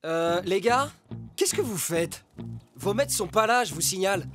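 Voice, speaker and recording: A woman's voice: medium pitch, lively intonation, a little slow, faint music behind, close to an everyday voice. A woman voicing a cocky, naive male fox who poses as a pet dog in a children's cartoon. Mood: puzzled. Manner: boastful, brash. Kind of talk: animated children's cartoon dubbing.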